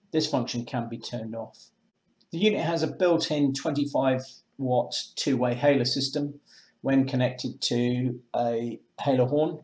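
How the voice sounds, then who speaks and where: A man presenting marine electronics in a measured, matter-of-fact way, as in a semi-scripted product demonstration. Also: mid-range voice, darkish tone, poor audio. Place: indoors, small room with block walls at a table.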